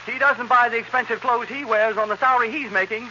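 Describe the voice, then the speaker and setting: Jealous, theatrical 1930s radio husband; piercingly bright delivery, faint hiss. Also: male voice, extremely high pitch, steady tone, fast talker, heavily acted. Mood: jealous, indignant. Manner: plaintive, theatrical, wheedling. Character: fussy, jealous, nagging househusband in a role-reversal sketch. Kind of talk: 1930s radio comedy acting. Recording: dull, hissy 1930s radio recording.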